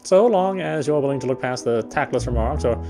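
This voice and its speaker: A man's voice: medium pitch, expressive, brisk, faint music behind. A man reading visual novel narration aloud, sometimes stumbling and rereading phrases.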